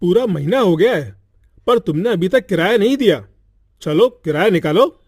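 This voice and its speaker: A woman putting on a gruff greedy landlord. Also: on the low side, animated, normal speed, a little mellow, no echo, studio quality. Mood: demanding. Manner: gruff, demanding. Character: harsh greedy male landlord, middle-aged.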